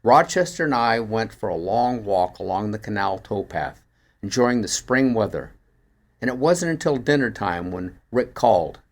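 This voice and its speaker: A man reading a first-person mystery story aloud in an expressive storytelling manner, like an audiobook narrator. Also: on the low side, moderate pace. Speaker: actor reading a short story.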